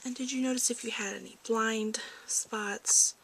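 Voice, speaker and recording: Soft-spoken woman, higher-pitched, ASMR doctor roleplay. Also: steady tone, moderate pace, gravelly, noisy background. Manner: gentle, hushed, reassuring, dropping into whispers. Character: polite research lab doctor giving a check-up.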